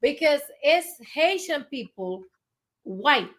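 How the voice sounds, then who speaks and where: Lively woman throwing in words. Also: high-pitched, slow. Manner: lively interjections, drops Spanish words. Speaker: podcast co-host. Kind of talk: casual chat.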